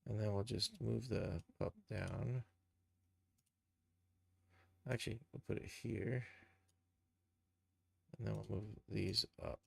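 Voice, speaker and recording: A man giving an informal live software tutorial, thinking aloud and muttering steps to himself as he works. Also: lowish voice, steady tone, slow pace, creaky, pauses often, slightly rough. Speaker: live streamer, game and cartoon developer.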